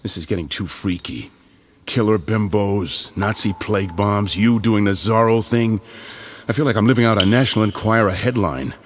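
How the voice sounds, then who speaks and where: A man's voice: on the low side, normal speed, background noise, close to an everyday voice. A man playing a skeptical, slangy former agent who wisecracks sardonically in an audio comic drama. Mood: sardonic.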